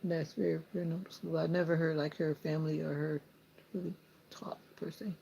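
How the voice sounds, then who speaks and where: A woman's voice: deep voice, flat delivery, brisk, vocal fry, warm tone, slight background noise, low-quality recording. A woman with a Southern US accent, chatting casually with viewers and laughing often while she trims her hair. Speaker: vlogger. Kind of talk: casual chat with live viewers.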